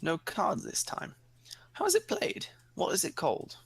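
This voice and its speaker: A dignified, commanding princess, played by a woman in a fan audio drama. The voice is husky and creaky, with very animated swings in pitch and formal, declarative phrasing. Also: normal speed, no echo, full character voice. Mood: curious. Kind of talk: amateur fan fiction group reading.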